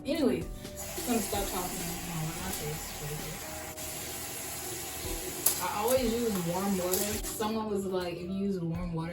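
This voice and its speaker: A young woman with a lowish, allergy-raspy voice chats easily and teasingly about her nightly routine. She sounds a little off the mic in an echoey room, with music playing under her. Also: far from the mic. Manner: chatty, self-mocking, relaxed. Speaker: beauty vlogger. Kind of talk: casual skincare vlog chat. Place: home, at night.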